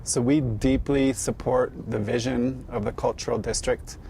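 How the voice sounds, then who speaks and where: A man with a mid-range voice, speaking in a measured, deliberate way as a nonprofit director. Faint traffic noise hangs in the background. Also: mid-range voice, fairly even intonation, creaky, warm tone. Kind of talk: news interview soundbite.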